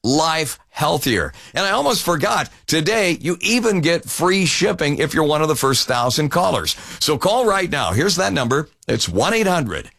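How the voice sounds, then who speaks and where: A man hosting a radio infomercial, pitching to listeners in an upbeat, salesmanlike way. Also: sing-song, normal speed, vocal fry, hardly any pauses, fairly bright tone. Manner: salesmanlike, addresses listeners as folks.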